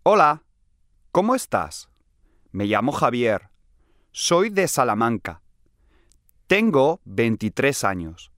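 A man playing a friendly young man in a scripted language-lesson dialogue, clearly enunciated. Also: mid-range voice, big pitch swings, slow pace, pauses often, fairly bright tone, no room sound, clean studio sound. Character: friendly young man from Salamanca, aged twenty-three.

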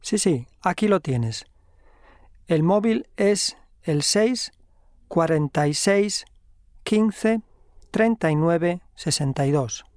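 A friendly young man in a learner dialogue. He speaks slowly and deliberately, and his words are clear. The studio sound is dry and close. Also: high-pitched, animated, a little mellow, no echo. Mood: helpful. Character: friendly young man, helpful hotel worker. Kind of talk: slow scripted dialogue for learners.

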